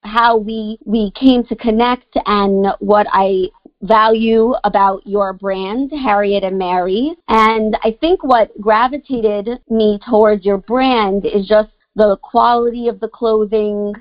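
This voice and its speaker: A woman's voice: medium pitch, steady tone, slow pace, no echo. A woman hosting an interview show, an encouraging and upbeat interviewer in a conversational style. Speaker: podcast host. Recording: dry, slightly muffled lo-fi audio.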